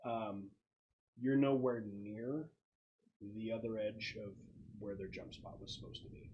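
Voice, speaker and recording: A man acting as game master, narrating a tabletop roleplaying game in a halting, conversational way. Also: fairly low pitch, huge pitch swings, mellow, lo-fi sound.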